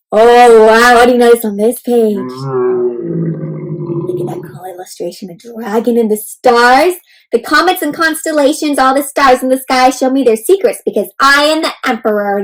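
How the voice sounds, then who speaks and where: A woman hosting an interactive read-aloud for children, speaking in an animated, child-directed way with questions and exclamations. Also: high-pitched, low-quality recording. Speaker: host reading a picture book aloud to children.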